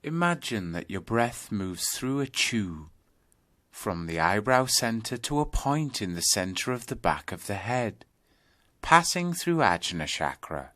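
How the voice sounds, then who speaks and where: A man leading a guided yoga meditation, giving deliberate instructions phrase by phrase with pauses between them. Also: fairly low pitch, sing-song, a bit bright.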